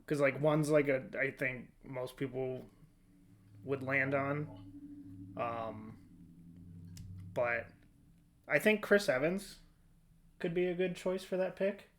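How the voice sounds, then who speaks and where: A man's voice: medium pitch, takes it slowly, long pauses, fairly bright tone, slight background noise. A man hosting a casual podcast discussion, conversational and a little hesitant, often trailing off. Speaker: podcast host.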